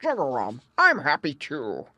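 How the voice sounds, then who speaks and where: A woman voicing a gruff, very old, stubborn male frog in a children's animal storybook. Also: low-pitched, huge pitch swings, measured pace, bright, forceful tone, no echo, heavily acted. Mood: gruff, contented.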